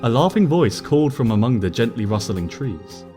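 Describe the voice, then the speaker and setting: A man reads a story aloud in a calm, unhurried voice at an ordinary middle pitch. Soft music plays faintly underneath. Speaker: story reader. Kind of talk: storybook narration.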